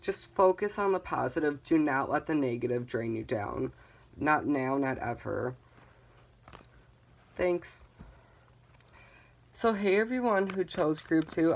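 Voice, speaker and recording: Chill tarot reader chatting to viewers, calm and reassuring, lots of ums. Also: medium pitch, slight background noise. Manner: calm, reassuring, gently guiding listeners; chatty, with frequent "um" fillers. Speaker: tarot reader, channel host. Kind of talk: casual tarot card reading for viewers.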